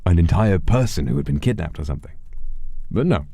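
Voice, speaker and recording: A man telling a first-person story in a theatrical, deliberate way, with hushed and drawn-out phrases. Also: deep voice, expressive, breathy edge, no room sound.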